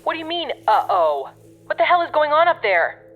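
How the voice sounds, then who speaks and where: An actress playing a gruff, exasperated but protective woman guiding a colleague over the radio in an audio drama, bossy and sardonic. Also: sits high, very animated, a little fast, phone-quality sound, faint music behind. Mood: alarmed, exasperated.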